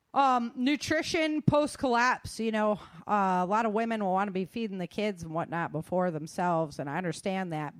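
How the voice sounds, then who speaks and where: A woman's voice: low voice, no room sound. A woman hosting a talk show, giving casual, emphatic advice straight to her listeners. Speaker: host of a self-defense and survival show.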